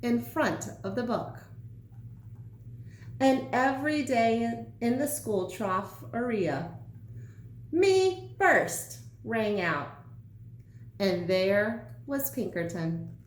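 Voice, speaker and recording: A woman teacher reading a children's story aloud with deliberate, emphatic phrasing. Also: fairly high pitch. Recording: roomy echo, some background noise.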